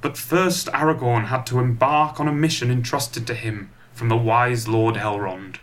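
A man narrating a comic pantomime in a scripted, dramatic storytelling style with deliberate phrasing. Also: medium pitch, fairly even intonation, a bit bright.

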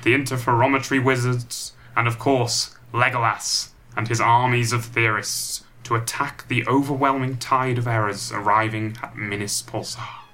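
A man narrating a comic pantomime in a scripted, dramatic storytelling style with deliberate phrasing. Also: medium pitch, steady tone, sharp tone.

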